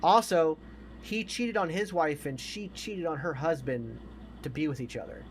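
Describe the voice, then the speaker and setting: A man's voice: a little high, normal speed. Opinionated man in his forties, noisy background. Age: 40s. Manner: opinionated, emphatic, repeats himself for emphasis. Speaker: podcast co-host. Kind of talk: casual chat about movies.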